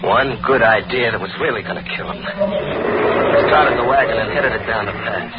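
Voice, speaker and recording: An actor playing a bitter, vengeful killer in 1940s radio crime style, hard-boiled and taunting. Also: male voice, on the low side, normal speed, close to an everyday voice. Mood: grim narration. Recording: noisy, muffled 1940s radio recording.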